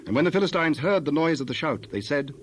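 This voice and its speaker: A man narrating scripture from a script in a measured, formal way. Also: a little high, expressive, slight background noise.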